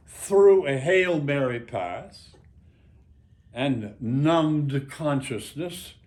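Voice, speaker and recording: An American man in his nineties, an author reading his own work aloud with a deliberate, halting delivery and pauses between short phrases. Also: mid-range voice, a little mellow. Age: 90s. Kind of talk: reading an author's note aloud to a small gathering.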